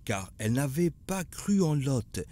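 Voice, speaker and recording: Guy as old grandpa telling religious tales in a cartoon, patient and grave, slow, lots of rise and fall. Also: mid-range voice, big pitch swings, warm tone. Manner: solemn, patient storytelling. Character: kindly, grave grandfather telling prophet stories. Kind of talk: animated religious story narration.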